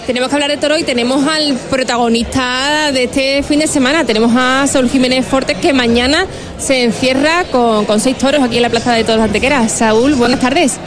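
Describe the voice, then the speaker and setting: A woman reporting and co-hosting a live radio interview, brisk in manner, outdoors at a fair. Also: sits high, fairly even intonation. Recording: loud crowd and music at a fair.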